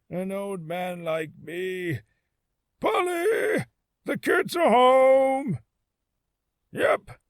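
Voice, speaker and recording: A man voicing a proud, sentimental old shopkeeper father from a video game, homely and unhurried. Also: high-pitched, dry recording, full character voice. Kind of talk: video game character voice acting.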